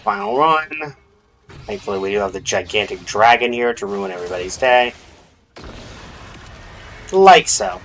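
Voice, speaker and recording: A man's voice: lowish voice, lively intonation, unhurried, pauses often, fairly bright tone. Man thinking aloud over game music. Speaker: game streamer. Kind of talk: casual gameplay commentary. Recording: music behind the voice.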